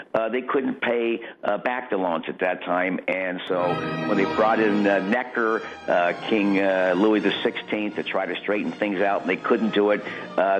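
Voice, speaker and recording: Male candidate as radio guest, lowish voice, blunt and opinionated, heard over narrow phone-quality audio. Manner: opinionated, blunt, repeats phrases for emphasis. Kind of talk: off-the-cuff political interview. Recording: tinny, narrow phone-like line.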